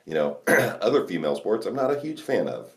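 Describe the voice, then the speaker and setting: Deep-voiced loud young man chatting. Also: very animated, a little fast. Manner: loud, laughs often, swears casually. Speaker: podcast co-host. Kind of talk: casual chat.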